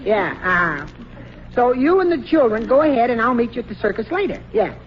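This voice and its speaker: A man's voice: very high-pitched, big pitch swings, a little fast. A middle-aged American man playing a hapless, henpecked, good-natured husband in an old radio sitcom, stammering and sheepish. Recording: muffled, noisy vintage radio recording.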